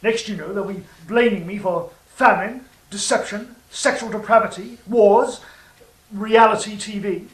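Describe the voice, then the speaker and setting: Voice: mid-range voice, measured pace, roomy sound, distant mic, close to an everyday voice, low-quality recording. A performer voicing a gleefully sly serpent of Eden in an audio drama, teasing and self-satisfied, with mock affection. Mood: smug, mock-aggrieved. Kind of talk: theatrical audio drama acting.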